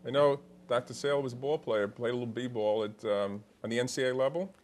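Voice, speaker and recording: A man's voice: medium pitch, fast talker. Older man chatting as a TV call-in host. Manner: chatty, joking, restarts often. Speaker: host of a call-in medical show. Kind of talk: casual live TV hosting. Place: TV studio set.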